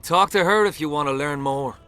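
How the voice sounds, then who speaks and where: Haunted, gravelly, humiliated soldier. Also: male voice, on the high side, fairly quick, pushed and bright, faint music behind. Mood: weary. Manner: dramatic, blunt storytelling. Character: shaken, embittered low-ranking soldier, humiliated and haunted. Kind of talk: video game voice acting.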